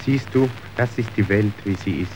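A man's voice: on the low side, moderate pace, darkish tone, slight background noise, lightly acted, rough recording. An actor playing a worldly, contemptuous henchman in a radio drama, lecturing with scorn. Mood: knowing, didactic. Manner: didactic, scornful. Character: worldly, contemptuous henchman acting as cynical guide.

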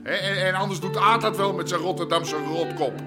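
An actor playing a harried, stubborn middle-aged crime boss and husband in a radio drama, blustery and colloquial, with an Amsterdam accent. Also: male voice, high voice, fairly even intonation, fairly quick, over music, close to an everyday voice. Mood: agitated. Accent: Amsterdam Dutch. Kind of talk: naturalistic radio drama acting.

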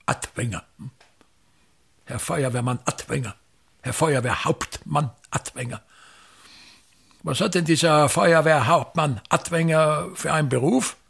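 An actor playing a vain, embittered older theatre actor and playwright in a radio play, domineering and declamatory, with an Austrian accent. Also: male voice, fairly high pitch, unhurried, vocal fry, long pauses, a bit bright, breathy edge, full character voice. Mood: scornful. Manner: theatrical, declamatory, haranguing, repeats words.

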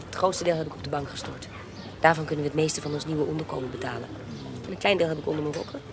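Shrewd adventuress, noisy setting. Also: female voice, low voice, quick pace. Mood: resolute. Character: shrewd, bold female adventuress posing as baroness. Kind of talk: Dutch radio drama acting.

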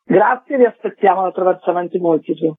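A woman's voice: lowish voice, quick pace, husky, dry recording, faint music behind. A woman, a festival director explaining a programme as a radio interview guest. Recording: narrow-band radio phone line.

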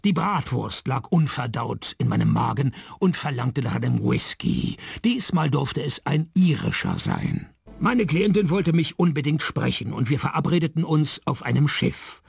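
A man playing a dry, sardonic private detective in a radio play, speaking deadpan. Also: medium pitch, moderate pace, creaky, slightly rough, no room sound, full character voice. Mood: deadpan, wry. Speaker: narrator and lead character of a radio play. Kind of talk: radio play noir narration and dialogue.

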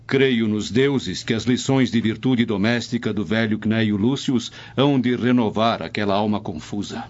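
A man's voice: on the low side, moderate pace, a little mellow, lightly acted. An actor with a Brazilian accent playing a troubled, stern Roman father, speaking formally in a radio drama. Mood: grave, troubled. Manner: formal, solemn, literary speech. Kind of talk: dubbed radio drama acting. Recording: dull lo-fi, noisy ambience.

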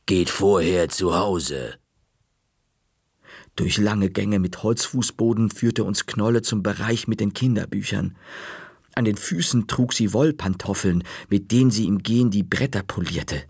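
Husky, gravelly male storyteller. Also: fairly low pitch, a little mellow, no echo. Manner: measured, dry-humored storytelling. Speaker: narrator. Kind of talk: audiobook story narration.

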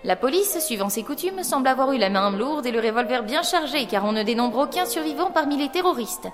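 A woman's voice: high-pitched, animated, a little fast, over music. A woman playing a brave, affectionate young heroine and sister in an audio drama. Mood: brisk newsreading. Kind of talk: audio drama acting and narration.

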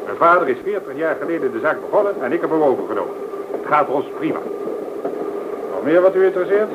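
A man's voice: fairly high pitch, sing-song, fast. An actor playing an irritable, sarcastic businessman in a radio drama, prickly and curt. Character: irritable, sarcastic businessman, a textile factory owner. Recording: noisy, thin, phone-like radio play recording.

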